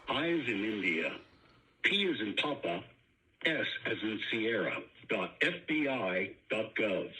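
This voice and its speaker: A man reading a scripted, formal automated phone menu announcement with measured enunciation. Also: on the low side, sharp tone, rough recording. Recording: phone menu recording with faint noise.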